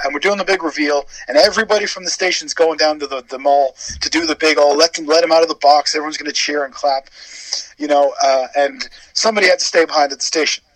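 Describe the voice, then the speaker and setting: Man, fairly high voice, dry sound, casual chatty radio guest, storyteller. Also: a bit bright, no room sound, low-quality recording. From born in Wales, grew up in Canada.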